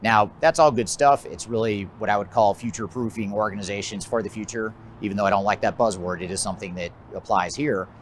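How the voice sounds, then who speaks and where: An American man giving a measured, methodical explanatory presentation as a business consultant, his phrases often trailing off at the end. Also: on the low side, a little hiss or hum. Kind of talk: explanatory presentation of a trends list.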